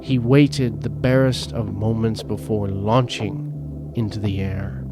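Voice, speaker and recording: A man reading a scripted story as a podcast host, in a dramatic, deliberate and emphatic way. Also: lowish voice, fairly even intonation. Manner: theatrical, deliberate, emphatic phrasing. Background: prominent background music.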